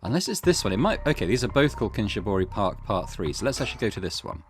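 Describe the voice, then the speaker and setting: A man commenting on a visual novel as he plays. His voice sits low but moves a lot, and he works through his thoughts out loud, restarting phrases as he goes. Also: very animated, fast talker, slight background noise. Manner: thinking aloud, self-correcting. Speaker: let's play host. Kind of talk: game commentary.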